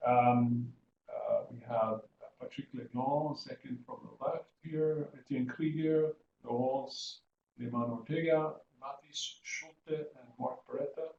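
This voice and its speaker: A middle-aged man from Sweden, a professor giving an informal webinar presentation in a halting way with restarts. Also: mid-range voice, gravelly, a little mellow. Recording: muffled, echoey room, faint noise.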